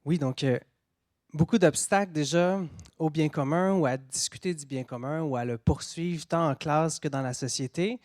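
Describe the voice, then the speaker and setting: A man's voice: fairly high pitch, moderate pace, a little mellow, no room sound, studio quality. A man co-presenting at a conference, summing up before moving to the next point.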